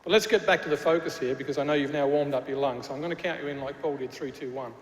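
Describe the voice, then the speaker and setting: A man's voice: fairly high pitch, fast talker. A man with a Glasgow Scottish accent giving a chatty speech at a graduation ceremony, joking with the crowd and often restarting his sentences. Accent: Glaswegian Scottish. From Scotland. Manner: chatty with frequent restarts and fillers, jokey asides. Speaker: university official addressing graduates. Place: large church hall. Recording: echoey hall with faint noise.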